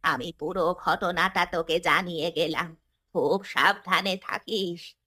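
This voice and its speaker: A woman's voice: lowish voice, fairly even intonation, pushed and bright, slightly rough, full character voice. A woman voicing a grave, knowing old village healer in a horror cartoon, speaking in an ominous manner. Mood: ominous warning. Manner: ominous, deliberate storytelling.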